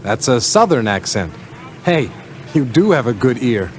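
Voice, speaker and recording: Animated American road-trip companion. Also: male voice, mid-range voice, measured pace, slight background noise. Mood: explanatory. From Northeast United States. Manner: explanatory, upbeat. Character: friendly American male driver explaining local expressions. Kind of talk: scripted English-learning radio drama.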